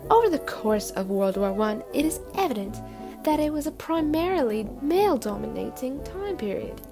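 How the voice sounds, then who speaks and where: High creaky female voice, acted by a woman, earnest 1920s radio speaker, formal declamatory delivery, music underneath. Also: sing-song, normal speed. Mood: earnest, proud. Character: earnest 1920s Canadian woman radio commentator on women's progress. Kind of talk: scripted 1920s radio speech.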